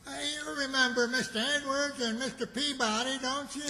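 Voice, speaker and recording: A man playing an eager but hesitant, folksy country friend in an old-time radio comedy, halting and repeating himself. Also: very high-pitched, steady tone, pushed and bright, heavily acted. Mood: friendly. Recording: reverberant hall stage recording.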